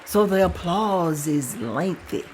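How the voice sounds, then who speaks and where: A woman narrating the stage directions at a table read in a plain, steady way. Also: lowish voice, slow, a little hiss or hum.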